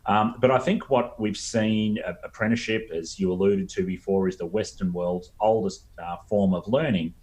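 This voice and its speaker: A middle-aged Australian man giving explanatory interview answers as a guest expert, in a halting way with frequent ums. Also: fairly low pitch, steady tone, darkish tone.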